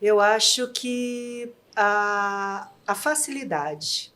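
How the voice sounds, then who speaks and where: A middle-aged woman with a São Paulo countryside accent, a guest telling her own story in a warm, candid and slightly emotional way. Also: mid-range voice, drawn-out words, a little hiss or hum. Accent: Brazilian Portuguese, São Paulo interior. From interior of São Paulo state, Brazil. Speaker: guest, trade fair executive. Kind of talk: reflective personal storytelling.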